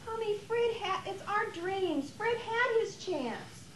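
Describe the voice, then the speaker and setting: A scheming, persuasive wife in a stage drama, acted by a woman. Her voice is high and swings widely as she presses for what she wants. The recording is a noisy, reverberant theater rehearsal tape. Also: animated, takes it slowly, heavily acted. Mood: insistent, pleading. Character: Nora, scheming impatient wife, self-interested and persuasive. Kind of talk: amateur stage play acting.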